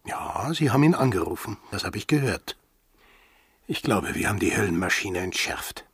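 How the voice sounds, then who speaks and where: An actor playing a brooding, sardonic, defiant sailor in a radio drama, speaking tersely in a husky, gravelly voice. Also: male voice, fairly low pitch, animated, normal speed, a bit bright, no echo, full character voice. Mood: brooding, resolute.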